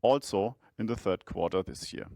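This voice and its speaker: A man speaking as a chief financial officer, delivering a scripted earnings presentation in a measured, formal manner. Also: on the low side, dark tone, no room sound, clean studio sound.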